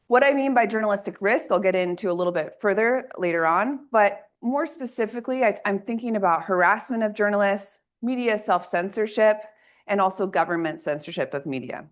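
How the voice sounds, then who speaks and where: A woman, an assistant professor, gives an academic presentation in a lecture room, her voice a little raspy from a coming cold, speaking in a clear, organized way. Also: medium pitch, fairly quick. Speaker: assistant professor giving a workshop talk.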